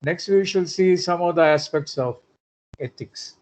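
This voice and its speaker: A man giving an online lecture, pausing often on "uh" and asking rhetorical tag questions. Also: a little high.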